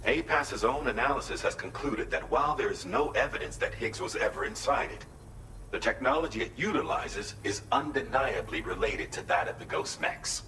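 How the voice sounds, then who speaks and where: Video game official, middle-aged: formal, measured wording, crisp bright edge, animated melody, roomy sound. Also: male voice, mid-range voice, slight background noise. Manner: formal, articulate, expository. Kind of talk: video game briefing dialogue.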